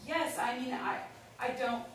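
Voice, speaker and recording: A woman's voice: sits high, steady tone, unhurried. A woman playing a polite, earnest nineteen-year-old girl in a stage play reading, hesitant and uneasy but honest. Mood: earnest, defensive. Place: indoor staged reading, mic far off. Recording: very echoey room, distant mic, faint noise.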